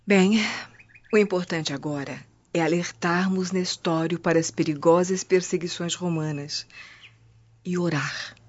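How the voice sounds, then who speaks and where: A woman's voice: fairly low pitch, fairly even intonation, a little slow. An actress playing a devout, cautious Roman mother, grave and motherly, in a radio drama. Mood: cautioning. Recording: muffled lo-fi audio with music behind.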